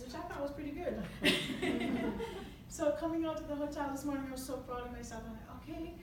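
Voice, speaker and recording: A high-voiced woman from Jamaica leads a lively workshop, chatty and quick to laugh as she tells stories. She is recorded from a distance in a large, echoing meeting room with a noisy background. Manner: chatty, laughs often, animated storytelling. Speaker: workshop presenter. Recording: echoey room from far off, noisy.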